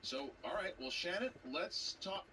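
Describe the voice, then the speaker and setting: A man with a slightly high voice works as host and announcer at a live event. He puts questions and makes announcements from a little off the mic, with noticeable noise. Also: animated, unhurried, bright, forceful tone. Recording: noisy, distant-miked event audio.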